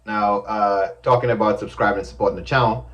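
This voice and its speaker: A man with a Caribbean accent, co-hosting a casual chat, loud and teasing, laughing often. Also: lowish voice, fairly even intonation, a little fast, far from the mic. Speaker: co-host.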